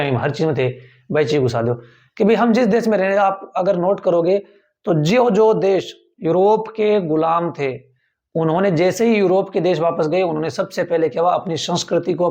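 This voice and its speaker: A man's voice: fairly high pitch, darkish tone, muffled recording. A male teacher giving a tenth-grade civics lecture, repeating key points and asking the class rhetorical questions.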